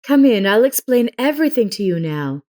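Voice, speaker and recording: A woman's voice: fairly high pitch, big pitch swings, fairly quick, darkish tone, pitched above the performer's own voice. A woman playing a sweetly placating, deceitful wife. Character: smooth, smiling deceitful wife welcoming her husband.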